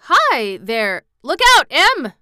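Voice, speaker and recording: A woman voicing an old male farmer who shouts folksy exclamations in alarm, in a storybook reading. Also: sits high, big pitch swings, moderate pace, no echo, studio quality, pitched above the performer's own voice, full character voice. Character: elderly male farmer, gruff and flustered.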